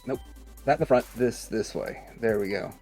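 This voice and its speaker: A man's voice: mid-range voice, moderate pace, a little mellow, soft background music. A man hosting a casual hands-on toy review, rambling and thinking aloud as he goes. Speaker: toy review channel host.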